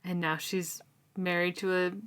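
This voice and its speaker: A woman's voice: on the low side, moderate pace, clear, resonant tone. A woman co-hosting a book club podcast, chatting casually with lots of "um" and "like" as she recaps chapters. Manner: chatty, relaxed, often says um and like.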